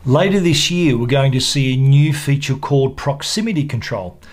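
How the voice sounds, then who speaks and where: A man presenting a product review in a measured, explanatory way. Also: medium pitch, lively intonation. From Australia. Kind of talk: product review narration to camera.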